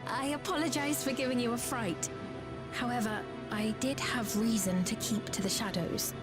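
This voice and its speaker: An actress playing a gracious, soft-spoken young queen in a video game, with formal, measured, courteous diction. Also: on the high side, fairly even intonation, music in the background, lightly acted. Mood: courteous, composed. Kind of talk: scripted video game voice acting.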